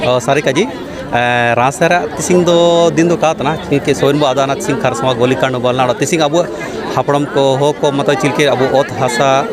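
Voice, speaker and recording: A man's voice: mid-range voice, steady tone, moderate pace. A man with a Ho accent from Jharkhand making a spontaneous public appeal in an interview. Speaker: film artist interviewee. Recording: noisy outdoor crowd.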